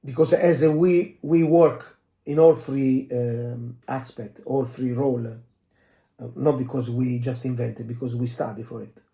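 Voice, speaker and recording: A man who speaks English as a second language, explaining as a dance coach in a casual, halting discussion, searching for words and often adding "you know". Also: medium pitch, dark tone. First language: not English. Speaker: dance coach and podcast co-host.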